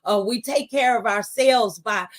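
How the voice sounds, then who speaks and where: A woman's voice: a little high, measured pace. A woman radio host talking conversationally in a preacherly, testifying way, offering faith-based encouragement. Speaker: radio talk show host.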